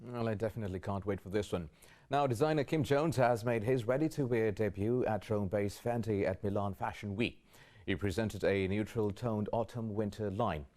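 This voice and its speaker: A man anchoring a news bulletin, reading headlines in a steady, formal way. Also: lowish voice, normal speed.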